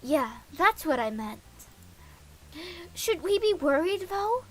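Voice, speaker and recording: A woman's voice: very high-pitched, sing-song, takes it slowly. A woman streaming a game, reading visual novel dialogue aloud in a casual, playful way.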